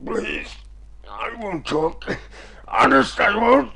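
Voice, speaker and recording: An actor playing a sly, bullying swindler in a radio drama, with an oily, sneering manner. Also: male voice, very high voice, lively intonation, slow pace, gravelly, a crowd in the background, exaggerated voice. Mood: frightened pleading. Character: sly, bullying male swindler who turns craven.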